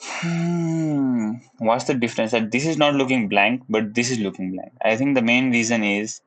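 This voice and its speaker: A young man from Nepal with a Nepali accent, live-streaming his coding practice in casual commentary. He thinks aloud in a relaxed way, hums little la-la tunes as he works, and sometimes drops into Nepali. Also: mid-range voice, moderate pace. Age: young adult. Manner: laid-back, chuckles, switches into Nepali. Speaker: livestreamer learning web coding.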